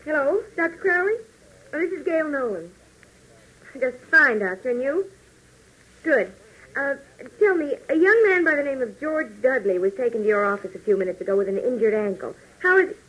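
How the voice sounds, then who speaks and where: An actress playing a sensible, composed young woman in a 1940s radio adventure, with a slightly husky voice. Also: high voice, animated, normal speed, a bit bright, dull audio. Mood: polite, concerned. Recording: band-limited old 1940s radio recording.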